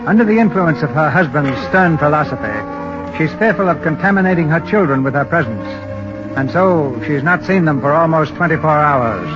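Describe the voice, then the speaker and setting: A man's voice: a little high, expressive, moderate pace. A man narrating a 1930s radio drama in a scripted announcer style. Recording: dull 1930s radio recording, music behind. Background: music.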